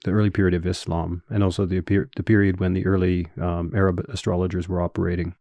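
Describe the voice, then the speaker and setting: A man in his thirties with a Canadian accent, a guest scholar giving measured, explanatory answers in an interview with frequent fillers. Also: deep voice, flat delivery, dry recording, muffled recording. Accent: Canadian English.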